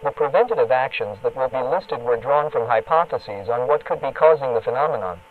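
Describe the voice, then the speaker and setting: A man's voice: medium pitch, expressive, slight background noise. A man as a phone-like, formal emergency alert announcer. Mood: formal, grave. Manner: formal, impersonal, measured. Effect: radio broadcast filter. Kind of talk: scripted emergency broadcast announcement. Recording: narrow, phone-like radio broadcast sound.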